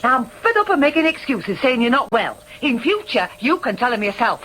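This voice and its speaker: An actress playing a fed-up working-class wife with a Northern English accent in a radio drama, forceful and reproachful. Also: female voice, on the high side, sing-song, normal speed, reverberant, a little hiss or hum. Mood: exasperated.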